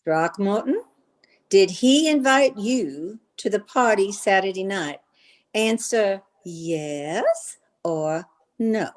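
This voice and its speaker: A woman's voice: medium pitch, animated, slow. An actress as a flirtatious society lady in a vintage radio comedy. Her voice swoops expressively as she coaxes, gushes and pushes coyly to get her way. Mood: insistent, wheedling. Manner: coaxing, insistent, gushing. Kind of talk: old-time radio comedy acting.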